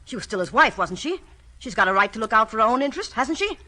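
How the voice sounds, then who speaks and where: An actress playing a tough, defiant American gangster's moll in a 1940s radio mystery, brash and slangy. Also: female voice, on the high side, very animated, quick pace, bright, forceful tone, full character voice. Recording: vintage 1940s radio recording.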